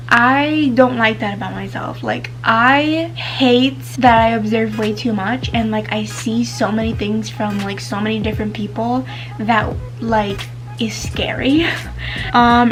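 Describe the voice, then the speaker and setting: A woman's voice: fairly high pitch, rough recording. A teenage girl vlogging casually to camera, chatty and full of fillers, whose first language is Spanish. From United States. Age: teens.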